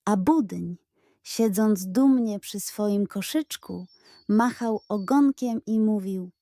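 A woman reading a scripted children's bedtime story with expressive, playfully dramatic narration. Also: fairly high pitch, no room sound, studio quality.

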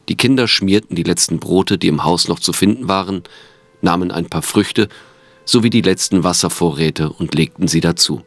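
A man reading scripted narration for an audio drama. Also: sits low.

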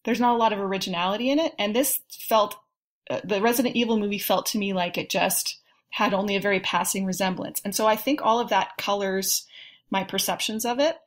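Woman panelist chatting casually, well spoken and opinionated, mid pitch. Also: a little fast. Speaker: podcast guest panelist. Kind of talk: casual film discussion.

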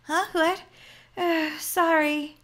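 Woman acting a whiny, high-pitched sick young wife. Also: steady tone, a bit bright, heavily acted. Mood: groggy, queasy.

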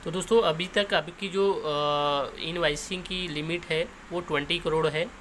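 A man's voice: a little high, steady tone, measured pace, fairly bright tone, slight background noise. A man giving an informal explainer, going over points step by step. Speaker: YouTube explainer host.

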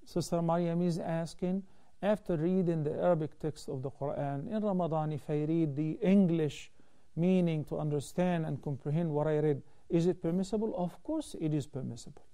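High, mellow-voiced man explaining Islamic rulings. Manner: measured, explanatory, gestures while talking. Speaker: Islamic scholar answering viewer questions. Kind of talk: call-in religious Q&A. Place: TV studio, seated at desk. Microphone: lapel mic.

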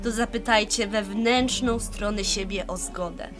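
A woman playing a bossy, easily exasperated young would-be high priest in an amateur fantasy audio drama, switching between mock-solemn ceremony and scolding. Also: measured pace, music in the background, lightly acted. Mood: solemn, ceremonial. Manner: mock-solemn incantation breaking into irritated scolding.

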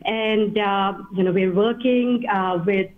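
A woman scientist, a guest in an interview, answering in a measured, slightly halting way. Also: medium pitch, fairly even intonation. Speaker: guest, machine learning scientist. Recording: tinny phone-like line.

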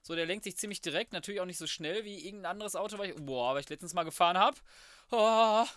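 Male German gamer, slightly high voice, slangy and crude let's play host, dry close sound. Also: fast, a bit bright, dry recording. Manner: slangy, crude, jokey muttering to himself. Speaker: gaming YouTuber, host. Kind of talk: casual let's play commentary.

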